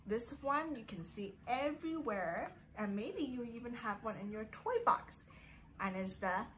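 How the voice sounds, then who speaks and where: A woman's voice: fairly high pitch, bright, forceful tone, slight background noise. A woman hosting a guessing game for young children, talking in a sing-song, encouraging way as a storytime teacher.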